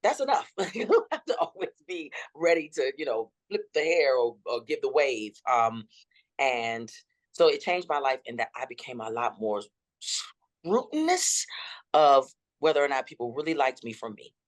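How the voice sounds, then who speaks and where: A woman in her fifties with a deep, rolling voice, telling her story dramatically as an interview guest. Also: moderate pace. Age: 50s. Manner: dramatic and expressive.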